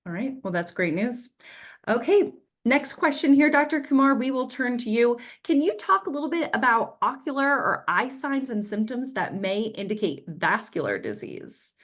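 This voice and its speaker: A woman moderating a health panel, reading out audience questions and moving briskly between topics. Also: fairly high pitch, expressive. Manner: brisk, organized transitions.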